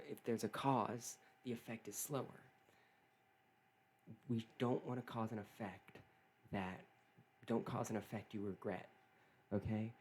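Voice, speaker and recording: A man who is not British, talking alone in an earnest, halting way, often restarting and repeating his phrases. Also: fairly low pitch, a little mellow, slight background noise. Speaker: political commentator. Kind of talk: solo opinion monologue.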